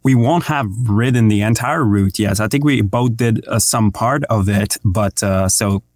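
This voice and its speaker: A man's voice: on the low side, measured pace, darkish tone, dry recording, studio quality. A man co-hosting a casual podcast and introducing a guest, with lots of fillers and frequent laughs. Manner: laughs often, many fillers. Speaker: podcast co-host and interviewer.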